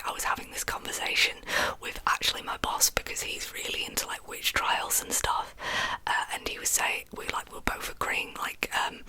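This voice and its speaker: A woman telling true crime as ASMR in a breathy whisper, with informal, chatty asides. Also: bright, forceful tone, rough recording.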